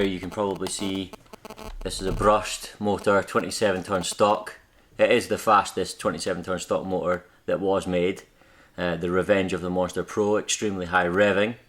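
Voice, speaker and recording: A man's voice: low voice, a bit bright. A young Scottish man hosting a hobby video, chatting casually and rambling a little as he corrects himself. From Scotland. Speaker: hobby channel host. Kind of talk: casual unscripted vlog talk.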